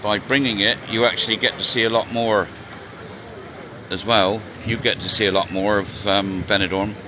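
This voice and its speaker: A man's voice: on the low side, steady tone, normal speed. A man in his sixties chatting casually on a live stream as he rides through city streets, joking and answering viewer questions. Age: 60s. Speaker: vlogger. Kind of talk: casual live-stream chat while riding. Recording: noisy outdoor street recording. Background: traffic and street noise.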